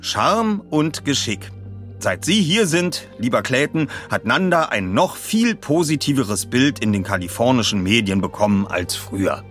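A man's voice: mid-range voice, animated, measured pace, fairly bright tone, faint music behind. A man voicing a formal dignitary who gives a gushing, ceremonious thank-you speech in an audio story.

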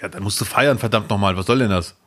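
A man co-hosting a podcast in casual chat, lively and jokey, swinging between loud outbursts and low mutters. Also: fast. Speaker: podcast co-host. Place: near Duisburg.

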